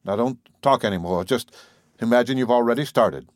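A man reading an audiobook, voicing a calm middle-aged doctor who quietly reassures his wife. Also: medium pitch, fairly quick, dry recording, studio quality, lightly acted. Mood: soothing.

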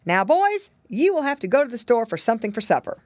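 A woman voices a jolly old rabbit gentleman from a children's storybook. He talks in a genial, courteous way, with a raised, lilting pitch and lively swings, recorded dry. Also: fast talker, no echo.

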